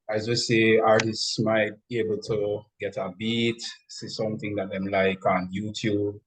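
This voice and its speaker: Slow-talking man with a husky voice. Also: fairly low pitch, rough recording. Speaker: music producer, interview guest. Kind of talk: explanatory interview talk.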